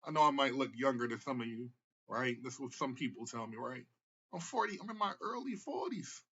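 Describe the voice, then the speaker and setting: A man's voice: mid-range voice, lively intonation, brisk, a bit bright, husky. A man in his forties giving a casual motivational talk as a therapist, often adding "right?" and repeating himself. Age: 40s. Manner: casual, repetitive, tag questions. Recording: muffled lo-fi recording.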